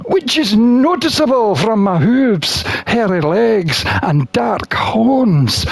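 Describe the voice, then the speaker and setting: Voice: medium pitch, no room sound, full character voice, poor audio. A game streamer voicing a contented, wine-loving satyr herbalist who narrates a visual novel in a leisurely, dreamy way.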